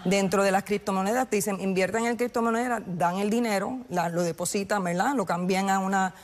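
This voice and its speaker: A woman's voice: mid-range voice, a little fast, big-room sound. A woman, a federal agent explaining things in a TV studio interview with lots of restarts and fillers. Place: TV news studio.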